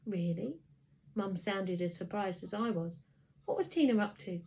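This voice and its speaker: A woman narrating a children's story in an expressive storytelling style. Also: medium pitch, normal speed, a little hiss or hum. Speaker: story reader.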